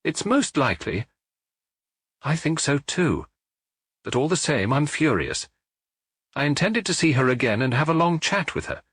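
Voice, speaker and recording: A man voicing an earnest, deferential provincial police inspector who explains things briskly in an audiobook. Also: fairly high pitch, sing-song, fairly bright tone, husky, no room sound, clean studio sound, voice pushed up, full character voice. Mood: frustrated, earnest.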